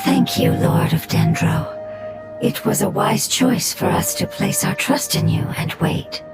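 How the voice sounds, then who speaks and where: A performer voicing a small forest spirit creature in a video game, who speaks in a formal, solemn, breathy way. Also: mid-range voice, wildly swooping pitch, unhurried, full character voice. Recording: loud game soundtrack playing behind. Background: loud game soundtrack music.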